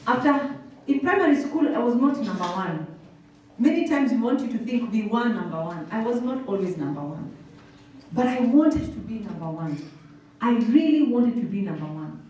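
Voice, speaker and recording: In a very echoey hall, slightly distant, a Kenyan woman in her thirties speaks on a handheld microphone. Her voice is fairly high and she talks emphatically, like a motivational speaker addressing students, repeating key phrases and asking questions. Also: very animated, moderate pace, a little mellow, a little hiss or hum. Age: 30s. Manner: emphatic, with rhetorical questions and repetition. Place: indoor workshop hall. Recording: roomy hall, distant, music behind.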